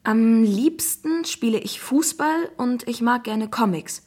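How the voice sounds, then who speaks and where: A woman's voice: on the high side, animated, slow pace, a little mellow. Woman doing a curious young schoolboy for a kids audiobook, eager, easily wowed. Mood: shy. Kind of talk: children's audiobook character voice.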